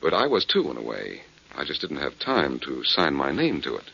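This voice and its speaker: An actor playing a cool, quick-witted, wry male detective with clipped delivery in a 1940s radio drama. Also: a bass voice, wildly swooping pitch, vocal fry, a bit bright, slight background noise, exaggerated voice. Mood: sly bluffing. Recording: dull 1940s radio recording.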